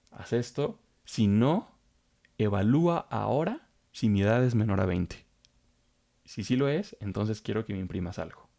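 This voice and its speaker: A man's voice: lowish voice, creaky, dark tone. A man teaching programming in a coding tutorial, explaining step by step and repeating himself as he goes. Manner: explains step by step, repeats phrases, frequent fillers. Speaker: programming instructor. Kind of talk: screen-recorded coding tutorial.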